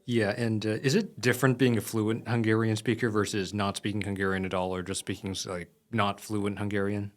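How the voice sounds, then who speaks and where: A man's voice: on the low side, little pitch movement, fairly quick, few pauses. A man hosting a history podcast, talking in a casual, chatty way with many fillers. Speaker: podcast host. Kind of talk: casual interview.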